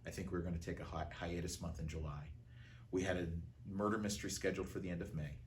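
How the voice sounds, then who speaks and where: A man's voice: low voice, fairly even intonation, quick pace, a little hiss or hum. An American man giving a conversational interview account as a theater art director, telling it like a storyteller.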